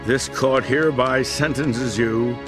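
A stern judge played by a man hands down a sentence in formal, grave words. His voice is mid-range and steady. A clear orchestral score plays underneath. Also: medium pitch, a little slow, natural voice. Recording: game audio with music score.

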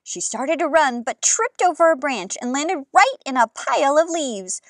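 A woman in her thirties hosting a children's story time, reading and chatting with expressive, exaggerated enthusiasm. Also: high-pitched, moderate pace, no echo, clean studio sound.

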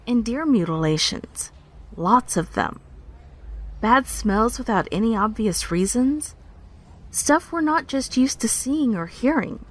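An uneasy, hesitant park ranger. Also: female voice, fairly high pitch. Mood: defensive, anxious. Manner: hesitant, self-conscious, halting. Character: uneasy, apologetic young female park ranger. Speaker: actor in audio drama. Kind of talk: naturalistic podcast drama dialogue, recounting.

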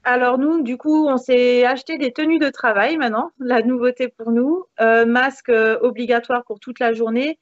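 Woman in her thirties, fairly high voice, upbeat interview guest, dry lo-fi call audio. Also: fairly even intonation, normal speed, few pauses, no echo. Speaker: interview guest, hair salon manager. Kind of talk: conversational interview answers. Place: indoors in a room with a beamed ceiling. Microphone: video call, device mic. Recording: dull, lo-fi video call audio.

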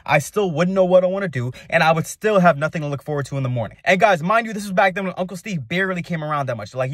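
Fast-talking young man, slangy and emphatic. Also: high-pitched, no echo, studio quality. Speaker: video host. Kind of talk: casual motivational storytelling to camera.

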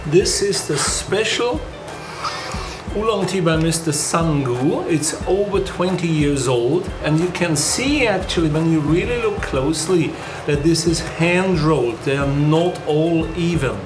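Calm German-accented man over music. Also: a little high. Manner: calm, unhurried, reflective. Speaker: tea master. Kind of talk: documentary narration and on-location talk.

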